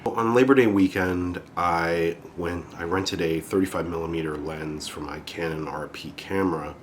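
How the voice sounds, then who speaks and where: A man's voice: low voice, normal speed, a little hiss or hum. A man with a cold, hosting his own photography channel and chatting loosely through his month, with fillers and restarts. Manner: relaxed, rambling, with frequent fillers and restarts. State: has a cold. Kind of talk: casual monthly photo recap.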